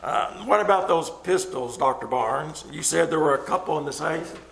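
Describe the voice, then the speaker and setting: A man's voice: on the high side, moderate pace, creaky, a bit bright. A man acting a blunt, practical, cynical survivor of a plague in an old-time radio thriller. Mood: practical urgency. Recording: roomy live stage, some noise.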